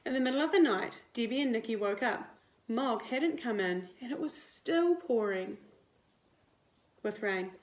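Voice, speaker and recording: A woman, a teacher reading a picture book aloud to young children in an expressive, deliberate way. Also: fairly high pitch. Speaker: primary school teacher. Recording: roomy indoor echo.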